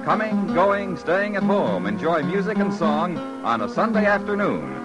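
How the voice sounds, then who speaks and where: A man's voice: high-pitched, very animated, moderate pace, lo-fi sound. A man with an American accent reading scripted credits and promos as a formal 1950s radio announcer. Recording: old radio broadcast with music.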